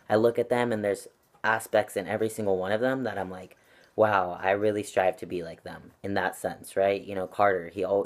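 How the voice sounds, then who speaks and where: A man's voice: lowish voice, a little mellow. A young man talking earnestly and reflectively to camera at home, with frequent ums and likes. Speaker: channel vlogger. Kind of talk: casual talk to camera. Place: at home with his cat.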